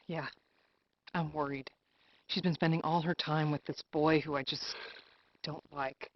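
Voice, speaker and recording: Anxious middle-aged mother, low voice. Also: fairly even intonation, unhurried. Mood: worried, nagging. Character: anxious, nagging mother of a sick daughter. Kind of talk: live-action game acting. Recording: dull lo-fi game video audio.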